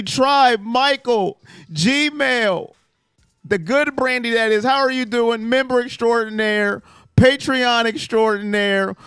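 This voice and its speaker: A man hosting a live sports stream, chatting and teasing his viewers, his voice hoarse from yelling. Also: very high-pitched, no echo. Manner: animated, teasing chat with viewers. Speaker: sports livestream host. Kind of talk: live fan pregame chat.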